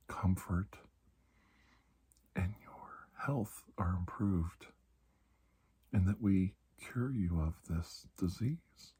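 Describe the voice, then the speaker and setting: A low, mellow male doctor, played by a man in an ASMR roleplay, calm and reassuring. He speaks slowly and deliberately in a soft, breathy voice that often drops toward a whisper, soothing a patient. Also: heavily acted. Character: calm, reassuring male doctor treating alien skin disease.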